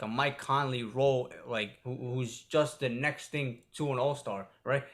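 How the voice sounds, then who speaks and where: A man co-hosting a sports podcast, talking loosely with lots of filler and swearing. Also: medium pitch. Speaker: podcast co-host. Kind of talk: casual sports chat.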